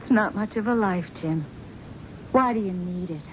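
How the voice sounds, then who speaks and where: An actress playing a devoted, pleading wife. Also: female voice, medium pitch, moderate pace, natural voice. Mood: reproachful. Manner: pleading, tender. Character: devoted, wounded wife, middle-aged. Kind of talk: 1970s radio drama acting. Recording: dull old radio broadcast with faint hiss.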